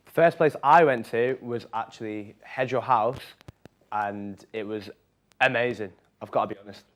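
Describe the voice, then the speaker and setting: A young man giving his honest ratings of places in a relaxed, hesitant chat. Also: medium pitch, normal speed. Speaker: student reporter. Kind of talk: casual presenting.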